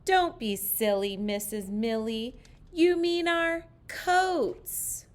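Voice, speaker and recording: Playful storybook schoolchildren, voiced by a woman, loud and insistent, high pitch, slow drawn-out emphasis on corrections. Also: expressive. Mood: amused, insistent. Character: giggling young pupils correcting their silly teacher in chorus.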